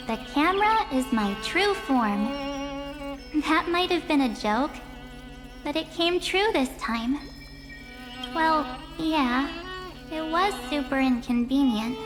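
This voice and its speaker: Bubbly anime-game girl, high voice, heartfelt n a bit cocky, big reverb, music under it. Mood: wry, boastful. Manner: playful, boastful, heartfelt. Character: bubbly young heroine who loves photography and her journal. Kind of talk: English video game voice acting.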